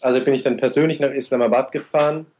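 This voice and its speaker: A man in his twenties, an interview guest recounting his travels in a steady, detailed way. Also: medium pitch, fast talker, slight background noise. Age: 20s. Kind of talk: conversational interview answers.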